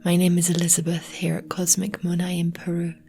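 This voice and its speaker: A woman guiding a relaxation meditation in a soft, breathy, near-whispered voice, slowly and soothingly with long pauses. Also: lowish voice, completely flat delivery. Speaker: yoga nidra meditation guide. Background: meditation music with singing bowls.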